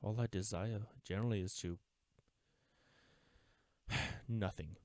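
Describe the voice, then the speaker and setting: An actor playing an uptight government agent in an audio roleplay, speaking formally and deliberately, smug yet mourning a lost friend. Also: male voice, fairly low pitch, steady tone, darkish tone, no room sound, close to an everyday voice. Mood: conflicted, mournful. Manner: formal, deliberate, taunting with wistful undertones. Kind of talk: scripted audio roleplay drama, addressing the listener.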